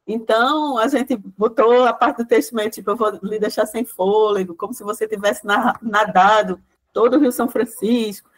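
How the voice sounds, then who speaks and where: Chatty woman lecturing, fairly high. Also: normal speed, low-quality recording. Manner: rambling explanation, frequent "né" tags and restarts. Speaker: guest lecturer, project coordinator. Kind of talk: online class talk.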